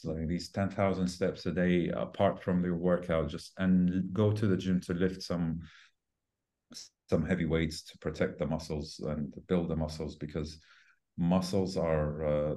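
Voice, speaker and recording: Very deep, mellow-voiced man in his forties. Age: 40s. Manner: emphatic repetition of key words. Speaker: fitness trainer and podcast guest. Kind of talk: conversational explanation of diet and fitness in an interview.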